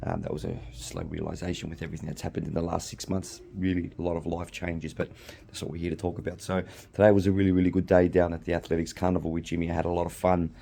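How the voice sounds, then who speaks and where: A middle-aged Australian man hosting a podcast alone, slightly hoarse from losing his voice, rambling casually with frequent ums and restarts. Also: low-pitched, fast talker, dark tone, slight background noise. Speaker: podcast host. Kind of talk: casual solo chat.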